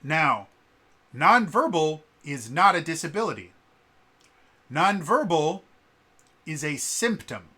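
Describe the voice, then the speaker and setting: Bright-voiced man with a stutter. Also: a little high, very animated, slightly rough. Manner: halting, many fillers and repeated sounds. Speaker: vlogger. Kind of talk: casual spoken commentary to camera.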